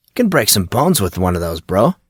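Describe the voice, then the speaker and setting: A man's voice: fairly low pitch, big pitch swings, brisk, slightly rough, dry recording, studio quality. A man voicing a laid-back teenage boy who is keen on martial arts and talks in casual dude-and-bro slang.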